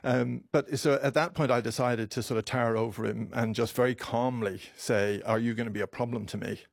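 A man in his fifties from Ireland, a doctor interviewed on radio, telling his story emotionally in halting speech, with many restarts and repeated words. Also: mid-range voice, fairly even intonation, gravelly, no room sound, studio quality. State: emotional, voice close to breaking.

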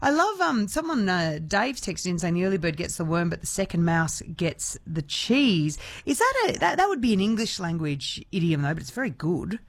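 Lively radio host. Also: medium pitch, normal speed, few pauses, no echo. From Australia. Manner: chatty, laughs easily, rambling restarts. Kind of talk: casual radio chat.

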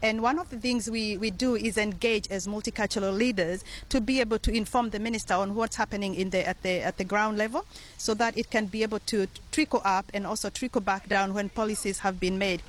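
Middle-aged Kenyan woman, community leader guest, earnest and measured, pauses often. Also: mid-range voice, steady tone. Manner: earnest, measured, with frequent ums and uhs. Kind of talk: radio interview.

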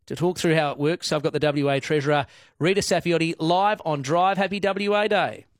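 A breezy man hosts drive-time talk radio from a studio mic. His voice is a little high for a man and sounds close and dry. He talks in a chatty, easygoing way and jokes at his own expense. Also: a little fast, no room sound. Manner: breezy, chatty, self-deprecating. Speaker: radio announcer. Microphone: studio microphone.

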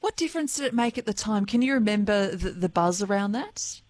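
A woman hosting a radio interview asks brief, gentle questions in a mid-range voice. Her voice sounds close and completely dry. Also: mid-range voice, animated, a little fast, no room sound.

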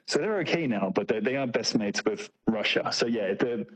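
A man's voice: lowish voice, expressive, normal speed, slightly rough, rough recording. A man speaking as an interview guest, explaining and telling anecdotes in an informal, slangy way and acting out short quotes. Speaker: interview guest, tour operator. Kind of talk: casual conversational anecdotes and explanation.

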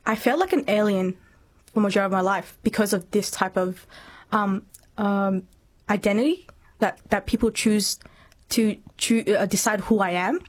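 A woman's voice: medium pitch, steady tone, moderate pace. A woman in her twenties from Myanmar, speaking earnestly and reflectively as an interview guest. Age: 20s. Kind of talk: personal testimony in interview.

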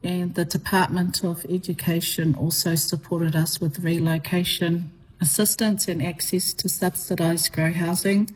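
A middle-aged woman from New Zealand, a teacher, answering radio interview questions in a measured way with frequent ums and uhs. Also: fairly low pitch, level tone, darkish tone, a little hiss or hum, low-quality recording.

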